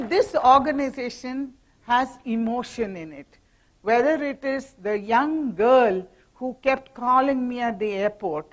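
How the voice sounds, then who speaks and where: A middle-aged woman with an Indian English accent speaks on a mic to a company audience in a hall. Her voice sits a little high, and she keeps the talk light with jokes and teasing asides to the room. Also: fairly bright tone. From Mumbai, India. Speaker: guest speaker, professor. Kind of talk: corporate talk with slides. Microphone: handheld or stand mic.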